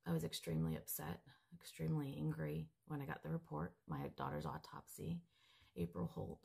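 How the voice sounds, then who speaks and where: A mother, hoarse and raspy from a cold, earnestly reading out a report and stumbling over medical terms. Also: low voice, a little mellow. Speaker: grieving mother seeking justice. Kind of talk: direct-to-camera update, reading from a report.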